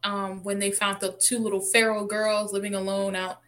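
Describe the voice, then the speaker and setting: A woman with a medium-pitched voice co-hosts a podcast. She talks in a casual, informal style, with wry and jokey reactions. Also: level tone, normal speed, a bit bright. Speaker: podcast co-host. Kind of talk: casual chat.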